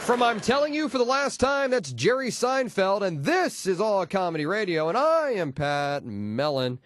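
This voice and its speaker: A man's voice: sits high, big pitch swings, normal speed. A man hosting a comedy radio show, delivering jokes and station patter in a punchy, wisecracking way on a close studio microphone. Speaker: radio host and DJ.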